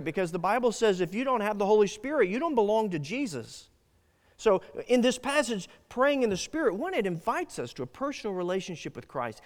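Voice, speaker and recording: A male pastor preaches in an earnest, emphatic way, his voice sitting high and swinging widely up and down. He often stops and restarts a phrase. The sound carries a long reverb, as in a big church sanctuary. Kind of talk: sermon.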